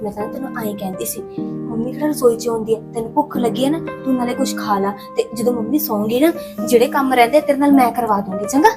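A woman playing a gentle protective sister. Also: fairly high pitch, quick pace, over music, lightly acted. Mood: soothing. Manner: soft, soothing, coaxing. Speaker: daughter. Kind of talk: short-film drama acting.

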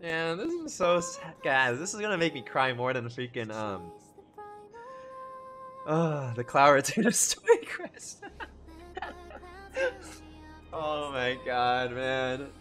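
Animated, bright-voiced man streaming. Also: mid-range voice, slow, long pauses. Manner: gushing, slangy, says bro and dude often. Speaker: live streamer reacting to game cutscenes. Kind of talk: casual livestream commentary, talking to chat. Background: game music behind the voice.

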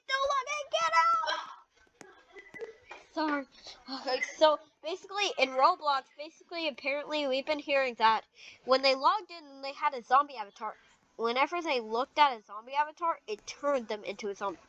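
An excitable gamer improvising a casual, dramatic video game roleplay. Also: high-pitched, moderate pace. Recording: dull lo-fi mic with clear background noise.

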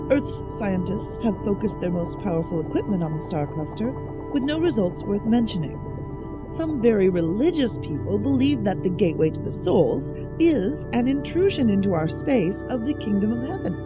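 A woman narrating a science-fiction radio drama in a measured storytelling manner. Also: medium pitch, very animated, lightly acted. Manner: measured, storytelling, posing questions. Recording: narration over loud music.

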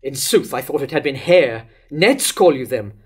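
A man's voice: medium pitch, expressive, moderate pace, husky. An older man playing a wavering, lovesick king in a declamatory staged reading of verse tragedy. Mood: questioning. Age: older, about 60s to 70s. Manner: declamatory, rhetorical questioning. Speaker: actor reading Herod in a staged play reading. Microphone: stand microphone at a lectern.

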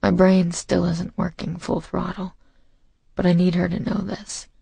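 A man narrating a romance audiobook in the first person, intimate, hushed and intense, with deliberate phrasing. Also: high voice, little pitch movement, dry recording. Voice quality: husky, breathy, gravelly.

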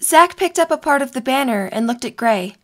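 A woman's voice: high-pitched, lively intonation, a little fast, a bit bright. A young woman reading scripted story narration for an audio drama.

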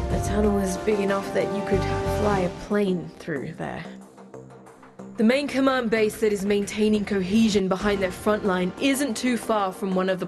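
Woman as special forces liaison, mid-pitched, frank, wry, game briefing, music behind. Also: natural voice. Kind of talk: scripted mission briefing, plain-spoken.

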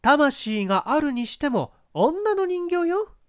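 Man voicing a high-pitched, coquettish young actress. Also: sing-song, slow, dry recording, clean studio sound, full character voice. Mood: teasing. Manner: coquettish, feminine phrasing.

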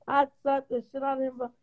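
A woman calling into a livestream by phone, bantering brashly in casual slang. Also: high-pitched. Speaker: livestream caller. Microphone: phone video call. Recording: muffled, noisy phone video call.

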